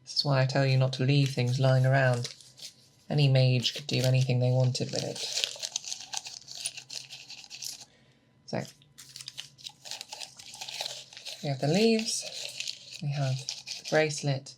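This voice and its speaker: A woman playing a seasoned, patient monster hunter in an ASMR roleplay, speaking softly and thoughtfully to an apprentice, close to the mic. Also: rumbling low, background noise. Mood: patient, instructive. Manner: deliberate, hesitant, thoughtful explaining. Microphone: close binaural microphone.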